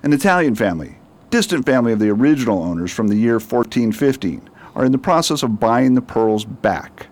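A man's voice: mid-range voice, lively intonation, darkish tone, lightly acted. A man as a commanding, shrewd detective. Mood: serious. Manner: brisk, authoritative, knowing. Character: shrewd, confident male detective, secretive and commanding. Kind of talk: amateur radio drama acting.

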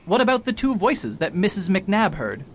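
Self-important scholar-doctor male actor for a radio whodunit, higher-than-average voice, baffled and disbelieving. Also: very animated, moderate pace. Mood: incredulous. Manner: pompous, incredulous. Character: pompous, baffled learned doctor, coldly overconfident sleuth. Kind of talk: radio mystery acting. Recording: faint rumbling background noise.

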